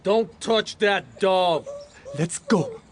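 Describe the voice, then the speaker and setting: A man's voice: sits high, extremely slow, voice pushed up. A hostile man with a rifle, acted out by a narrator, barks in a raised, threatening voice. He is harsh and commanding, as in a radio play. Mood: menacing. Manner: barking threats.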